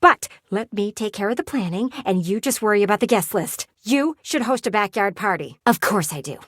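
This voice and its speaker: A woman's voice: medium pitch, lively intonation, moderate pace, fairly bright tone, no room sound, clean studio sound. A woman voicing a video game character, a talking house window who is bossy and theatrical, sweetly condescending and teasing. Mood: brisk, eager.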